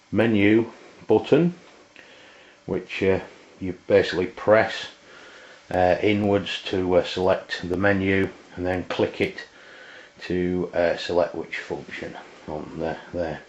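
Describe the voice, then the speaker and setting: A man with an English accent giving an informal, unscripted hands-on product demonstration, halting and often pausing mid-sentence. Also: deep voice, steady tone. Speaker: product reviewer. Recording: roomy, dull lo-fi camera sound.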